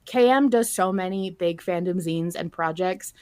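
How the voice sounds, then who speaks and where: A woman's voice: lowish voice, moderate pace, a little mellow, no echo, studio quality. A woman hosting a podcast in casual conversation, speaking informally and swearing freely. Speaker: podcast host.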